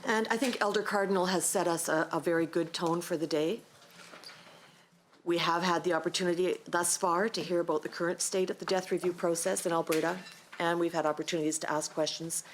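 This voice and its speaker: A woman chairing a public panel, opening the session in a measured, formal way with brief hesitations. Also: on the low side, fairly even intonation, slight background noise.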